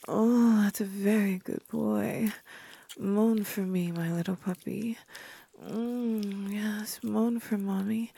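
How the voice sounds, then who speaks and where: A woman voicing a doting, motherly female creature with wings and a tail, in a soft, breathy, soothing whispered ASMR roleplay. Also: mid-range voice, exaggerated voice. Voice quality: breathy, husky.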